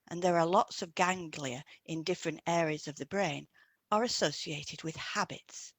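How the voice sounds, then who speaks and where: A woman co-hosting an educational podcast, reading scripted explanations in a measured way. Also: low voice, dry recording. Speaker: podcast co-host, hypnotherapist.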